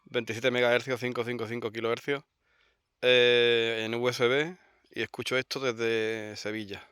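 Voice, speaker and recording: A man's voice: medium pitch, little pitch movement, normal speed, fairly bright tone. A man casually explaining his gear as a radio hobbyist, speaking unscripted. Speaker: radio hobbyist presenting a demo. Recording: clearly noisy, radio static and clicks.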